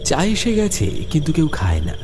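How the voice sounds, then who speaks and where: A man's voice: a little high, huge pitch swings, normal speed, background noise. A man narrating a horror story in a dramatic, suspenseful way. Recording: loud background music with insect sounds. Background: eerie music, crickets and insects.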